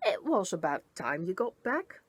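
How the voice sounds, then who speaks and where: A woman's voice: on the low side, very animated, moderate pace, slightly rough, no echo. A woman voicing a small, wild, impatient female storybook creature, indignant and haughty, with emphatic delivery.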